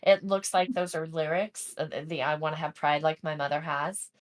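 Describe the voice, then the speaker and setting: A woman's voice: sits low, level tone, normal speed. A woman hosting a podcast in a chatty, playful way. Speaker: podcast host. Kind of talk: casual podcast conversation.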